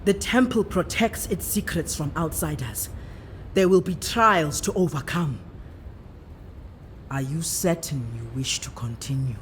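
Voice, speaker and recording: A woman playing a proud, devout island priestess in a fantasy game, speaking with solemn, measured authority. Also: deep voice, a little hiss or hum. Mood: grave. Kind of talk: fantasy game character acting. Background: music.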